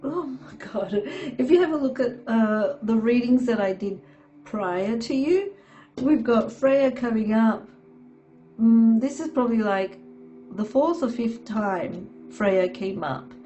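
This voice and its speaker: Slow hoarse woman reading tarot. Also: on the high side, expressive, mellow, highs cut off, soft background music. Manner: halting, many fillers and "okay"s. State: hoarse from a sore throat. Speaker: tarot reader.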